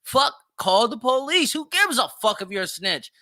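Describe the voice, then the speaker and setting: A man's voice: extremely high pitch, very animated, moderate pace, pushed and bright, no echo, clean studio sound. A man hosting a podcast, giving opinionated reaction commentary in a brash, profane, exclamatory way. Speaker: podcast host.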